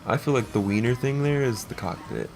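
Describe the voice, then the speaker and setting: A man's voice: mid-range voice, fairly quick, darkish tone. A man casually commentating while he plays a game, in short, crudely joking remarks. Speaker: let's play player and commentator. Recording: noticeable background noise.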